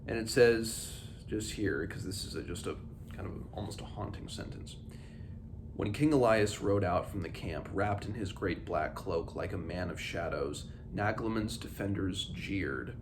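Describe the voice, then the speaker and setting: Deep-voiced man on a noisy recording. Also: a little slow. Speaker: read-along host. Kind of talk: book discussion with read-aloud passages. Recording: clearly noisy background ambience.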